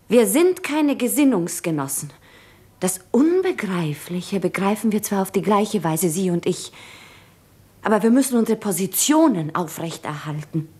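An actress playing an earnest, anguished wife in a 1950s German radio drama, intimate and fervent, with a husky, breathy voice. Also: female voice, mid-range voice, darkish tone.

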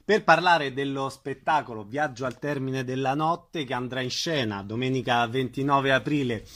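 A man's voice: medium pitch, moderate pace, a bit bright. A man working as a radio interviewer, introducing a guest and asking questions in a studio.

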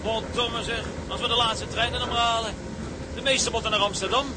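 A man's voice: extremely high pitch, steady tone, a little fast, noisy background. An actor playing an eager, grumbling crewman itching to get home, colloquial and exclamatory. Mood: impatient.

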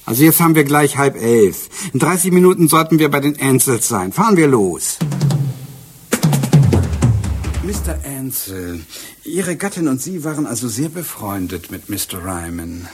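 An actor playing a brisk, wry police inspector in a German radio drama, dry and methodical in manner. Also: male voice, mid-range voice, sing-song, loud music behind the voice, natural voice. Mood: dry, teasing.

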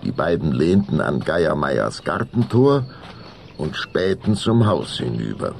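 A man narrating a scripted German children's radio play as its storyteller, with a gravelly voice. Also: extremely low pitch, sing-song, a little slow, slight background noise.

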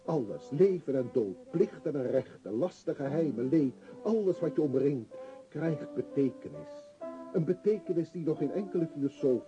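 An actor playing an earnest, self-righteous shopkeeper and family man in a radio play, with a dark, husky voice and insistent manner. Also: a little high, expressive, moderate pace, dull audio, music in the background, lightly acted. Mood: earnest, confiding. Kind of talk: Dutch radio play acting.

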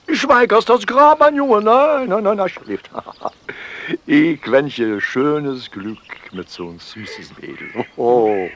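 An actor playing a jovial, wheedling pedlar in a radio play, chuckling and repeating himself with a German accent. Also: male voice, a little high, expressive, slow, fairly bright tone, slight background noise, heavily acted. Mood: hearty, conspiratorial. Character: jovial wheedling foreign pedlar with a cabinet on his back.

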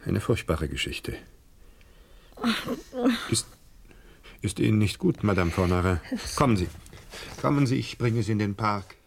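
An actor playing a courteous, discreet hotel director in a radio crime drama, gravelly, formal and careful with halting politeness. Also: male voice, on the low side, expressive, slight background noise. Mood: solicitous.

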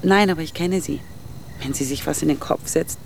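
An actress playing a reluctant, frank woman with a creaky voice and short patience, in a naturalistic radio drama. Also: sits low, normal speed, darkish tone, a little hiss or hum. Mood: reluctant, doubtful.

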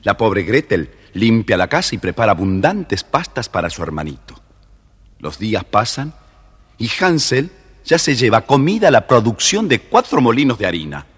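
A man narrates a children's tale in a theatrical, confiding style. His voice is low and husky, with lively swings of pitch. A long reverb trails behind it. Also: moderate pace. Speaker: storyteller narrator. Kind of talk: dramatic scripted children's narration.

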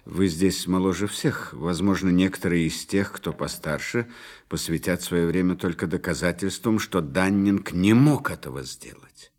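An actor playing a calm, tactful psychologist in a radio play, measured, questioning and reassuring. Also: male voice, deep voice. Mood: reassuring, candid. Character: calm, tactful male psychologist, quietly probing and encouraging.

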